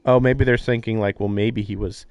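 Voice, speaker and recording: A man giving casual live-stream commentary on a game, thinking aloud in halting, musing fragments. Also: lowish voice, steady tone, a little mellow, dry recording, lo-fi sound. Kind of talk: casual live commentary on a visual novel playthrough. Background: music.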